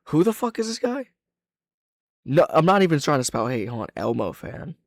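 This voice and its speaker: A man's voice: a little high, sing-song, normal speed, dry recording, clean studio sound. A man hosting a casual reaction commentary, thinking aloud with plenty of slang and swearing. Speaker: reaction video host.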